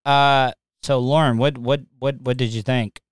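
A man hosting a live stream, chatting casually and stumbling over his words. His voice comes through very clean and smooth. The sound is close and dry, with no room in it. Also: mid-range voice, steady tone, unhurried, no room sound. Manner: stammering, repeats words, laughs easily. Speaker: livestream host. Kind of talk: casual chat.

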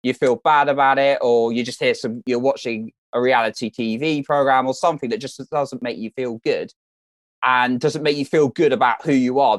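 UK-raised male guest, earnest coaching tone, encouraging, mid pitch, dry close sound. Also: dry recording. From United Kingdom. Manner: earnest, encouraging, repeats words while thinking. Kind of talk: casual interview advice.

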